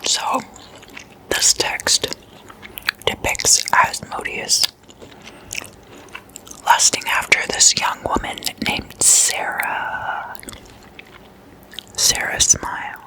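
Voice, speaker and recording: An ASMR host speaking in an unvoiced, breathy whisper close to the microphone, telling stories casually and softly repeating words. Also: very slow, pauses often, rough recording.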